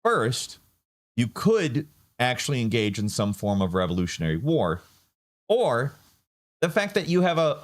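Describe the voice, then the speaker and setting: A man giving casual political commentary, sardonic and rambling. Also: mid-range voice. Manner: sardonic, rambling with fillers and restarts.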